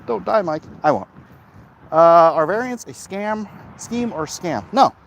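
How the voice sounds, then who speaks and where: A man chats casually and bluntly, rambling as he answers comments while exerting himself on a walk, clearing his throat now and then. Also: sits high, a little slow. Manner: animated, blunt, opinionated. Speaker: livestream host answering viewer comments. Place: outdoors, walking along streets. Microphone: phone held in hand at arm's length. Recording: faint outdoor street and traffic noise.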